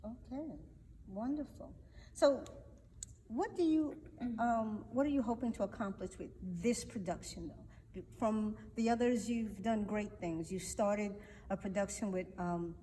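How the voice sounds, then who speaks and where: A woman's voice: mid-range voice, measured pace, mellow. A woman hosting a conversational interview, asking questions in an encouraging, affirming way. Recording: echoey hall, faint noise.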